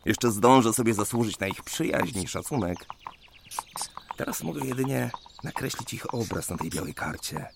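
An actor as a dreamy, gravelly-voiced romantic surveyor. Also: male voice, on the low side, noisy background. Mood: quietly reflective. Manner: rapturous, poetic, exclamatory, musing aloud. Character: dreamy, sentimental 19th-century surveyor enchanted by village life. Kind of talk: theatrical radio play monologue.

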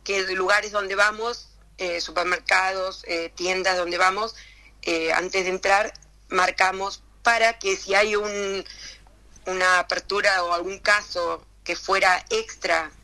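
A middle-aged woman from Argentina with an Argentine Spanish accent, talking casually as an interview guest with many pauses, fillers and restarts. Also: mid-range voice, fairly even intonation, bright, forceful tone, low-quality recording.

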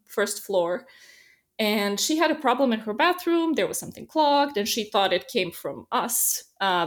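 A woman from Serbia with a Serbian accent, chatting casually and telling lively stories, acting out quotes and laughing often. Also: medium pitch. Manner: animated storyteller, laughs often, acts out quotes. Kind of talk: casual conversational chat. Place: lives in a small Dutch town.

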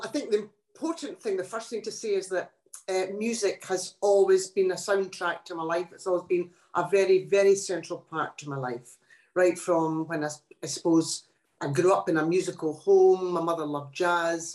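An older woman, a poet, talking about her life in a relaxed interview, thoughtful and pausing often. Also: lowish voice. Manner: reflective, thoughtful, with many pauses and restarts. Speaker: guest poet. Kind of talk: casual interview chat. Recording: echoey room.

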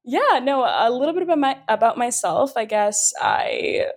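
A woman's voice: a little high, very animated, normal speed. A woman in her twenties introducing herself in a chatty, self-deprecating way with many fillers. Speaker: team member introducing herself. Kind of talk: casual chat.

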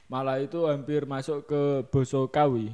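A man with a Javanese accent, talking in a casual, joking and animated way as a discussion host. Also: medium pitch, moderate pace, clear, resonant tone. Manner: animated, joking, mimics others in put-on voices. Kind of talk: casual chat mixing regional speech and Indonesian.

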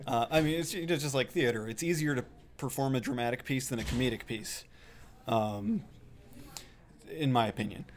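Male composer guest, medium pitch, modest and conversational, thinking through his answers. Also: moderate pace, long pauses, slight background noise. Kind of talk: casual interview answers.

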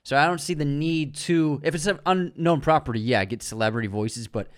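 A man co-hosting a movie news podcast, chatting in a relaxed, easygoing way and agreeing readily. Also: fairly high pitch, fairly quick, no room sound, studio quality. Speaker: podcast co-host. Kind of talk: casual chat about movie news.

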